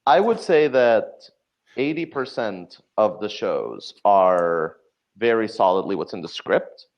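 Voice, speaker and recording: A man's voice: lowish voice, big pitch swings, takes it slowly. A man in a casual interview, explaining things as a guest writer and acting out little bits of dialogue. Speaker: podcast guest, audio drama writer. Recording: slightly dull, lo-fi audio.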